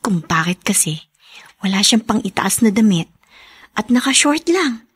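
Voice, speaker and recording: An actress playing a caring, anxious young wife in a radio drama, coaxing and fussing with concern. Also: female voice, medium pitch, very animated, measured pace, a bit bright, slightly rough, heavily acted. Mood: puzzled, uneasy.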